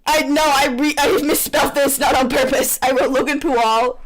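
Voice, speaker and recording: A woman reading fan fiction aloud in a casual, teasing, animated way on a rough microphone, bantering as she goes. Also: moderate pace, faint music behind. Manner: animated, teasing, breaks into laughter. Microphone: poor-quality microphone. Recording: rough low-quality microphone.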